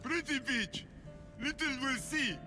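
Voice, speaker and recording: An actor playing a lonely, childlike cyclops giant in a video game, timid but friendly, talking in broken, simple words. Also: male voice, extremely high pitch, expressive, slow pace, in a large hall, music in the background, full character voice. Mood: eager, friendly. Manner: broken, simple-worded speech. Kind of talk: video game character acting.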